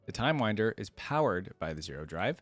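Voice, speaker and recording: A man's voice: fairly low pitch, very animated, fairly quick, no echo. A man hosting a reaction channel, reading aloud and adding casual, conversational commentary. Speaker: host of a game lore reaction channel.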